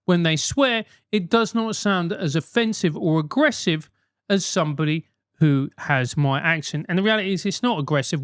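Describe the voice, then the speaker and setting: A man's voice: on the high side, no room sound, studio quality. A man with a South London accent, a host giving advice in a casual, emphatic rant, swearing freely.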